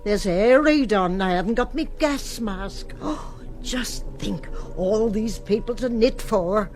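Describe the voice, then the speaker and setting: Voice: medium pitch, slow pace, darkish tone, faint music behind. An actor playing a fussing, homely elderly woman with colloquial speech in a radio comedy. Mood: flustered, eager.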